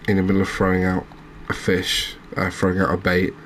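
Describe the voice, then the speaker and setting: A man giving relaxed, understated ASMR-style commentary as host of a gaming channel. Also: low voice, fairly quick, slight background noise.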